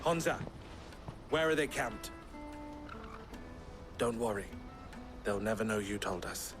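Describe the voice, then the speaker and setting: A man's voice: fairly low pitch, lively intonation, slow, over music. An actor playing a self-assured, protective and plain-spoken swordsman in a video game, assertive and articulate. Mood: reassuring.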